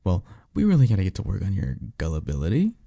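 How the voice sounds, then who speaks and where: A blunt, sarcastic male vampire mentor played by a man for an audio roleplay. The voice sits low with a crackly fry and moves around expressively. The delivery is casual and exasperated, with conversational hesitations. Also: huge pitch swings, quick pace, dark tone, no echo, studio quality, full character voice. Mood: incredulous, exasperated. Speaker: voice actor. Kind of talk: ASMR roleplay audio drama, one-sided conversation.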